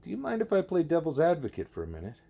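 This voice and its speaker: A man's voice: a little high, huge pitch swings, racing, creaky, warm tone, voice pushed up, exaggerated voice. A man voicing a curious, outspoken young schoolgirl in an audiobook, informal and questioning. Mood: curious, challenging.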